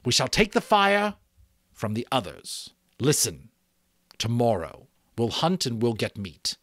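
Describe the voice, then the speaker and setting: Give this storybook role a commanding young chief acted out by a man. The boy's voice sits well above an adult man's usual pitch, swinging widely as he issues solemn, emphatic orders to his tribe. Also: wildly swooping pitch, a little slow, a bit bright, no echo, studio quality, full character voice.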